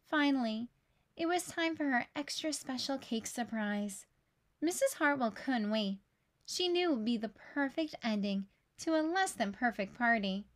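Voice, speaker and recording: Slow high-voiced woman reading a storybook. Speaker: read-aloud storyteller for young children. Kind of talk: scripted picture-book read-aloud.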